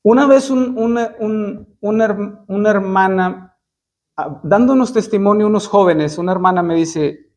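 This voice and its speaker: A man with a fairly high voice preaches a sermon. He reads scripture slowly and breaks it down in plain speech. His delivery is halting, full of repeated words and restarts. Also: darkish tone. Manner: reads verses slowly, then explains in plain talk. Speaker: Baptist preacher.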